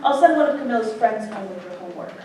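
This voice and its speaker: A school principal played by a woman speaks with official courtesy and no room for argument in a staged play. The voice is fairly high, recorded from a distance in a very echoey hall. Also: expressive, quick pace, dark tone, a little hiss or hum, close to an everyday voice. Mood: politely firm. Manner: official, polite but unyielding. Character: formal, firm school principal phoning a parent. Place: live stage in a hall, far mic.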